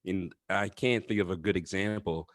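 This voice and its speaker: Guy with a lowish voice cracking jokes on a podcast, laughs a lot, dry sound. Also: fairly even intonation, normal speed, no echo. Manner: jokey, laughs often. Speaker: podcast co-host. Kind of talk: casual chat.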